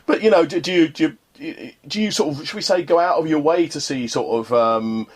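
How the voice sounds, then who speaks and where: A man's voice: fairly high pitch, fairly quick. A middle-aged man hosting a casual radio interview, chatting in a rambling way and often stammering over repeated words. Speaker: radio show host.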